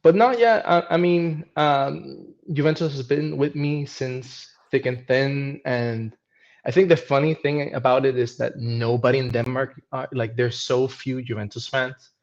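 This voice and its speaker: Gravelly-voiced man in his thirties from Venezuela, halting guest talk over dull lo-fi call audio. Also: mid-range voice, fairly even intonation. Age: 30s. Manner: hesitant, many restarts and fillers. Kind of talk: casual podcast chat.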